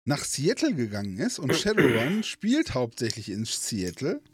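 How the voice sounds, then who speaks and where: A middle-aged man chatting on a podcast, trailing off and restarting as he tells his stories. His voice rises and falls in a lively way, and the recording is dry and close with no room sound. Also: medium pitch, normal speed, husky, no echo. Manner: rambling, restarts often, animated storytelling. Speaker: podcast co-host. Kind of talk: casual chat.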